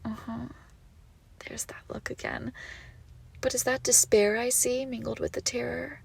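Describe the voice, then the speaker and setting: A woman voicing an obsessive, icy and menacing crime-boss ex-girlfriend in an intimate audio roleplay, speaking deliberately with swings between whispers and outbursts. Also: fairly high pitch, little pitch movement, gravelly, slightly rough, no echo, exaggerated voice. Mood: cold, threatening.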